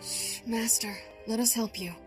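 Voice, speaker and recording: A woman voicing a gentle, earnest young Jedi apprentice in a video game. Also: on the high side, fairly even intonation, a little slow, lightly acted. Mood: soothing. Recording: music playing behind.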